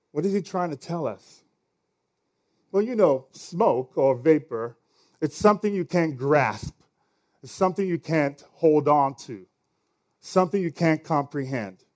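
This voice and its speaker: A man's voice: a little high, darkish tone. A man with a Nigerian accent preaching a sermon as pastor, conversational and now and then stumbling over words.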